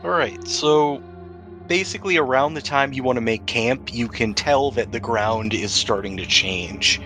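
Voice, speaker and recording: A man's voice: medium pitch, unhurried, bright, forceful tone. A man acting as game master, describing scenes in a conversational, improvised way for a tabletop role-playing game. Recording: music playing behind the voice.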